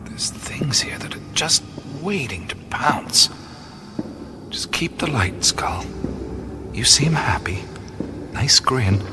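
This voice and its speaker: An actor playing a curious, wry male explorer who talks to himself in hushed half-whispers, in a studio radio drama. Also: mid-range voice. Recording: studio radio play with music behind. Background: music.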